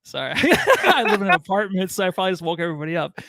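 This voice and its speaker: A man's voice: high voice, rapid-fire, a bit bright, no echo. A man co-hosting a sports podcast in casual fan chat, rambling, slangy and self-deprecating. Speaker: podcast co-host. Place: small apartment.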